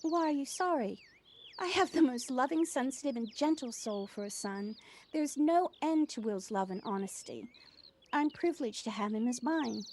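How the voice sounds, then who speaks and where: A woman's voice: high-pitched, expressive, normal speed, darkish tone. An actress playing a proud, devoted widowed mother who runs a cafe, speaking earnestly and reflectively in an audio play. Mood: protective, proud. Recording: noisy home recording on personal device.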